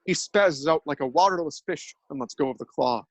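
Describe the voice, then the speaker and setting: A man narrates an absurdist tale with deadpan, mock-dramatic gravity. His voice sits a little high and the recording is dry and close. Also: fairly quick, no room sound.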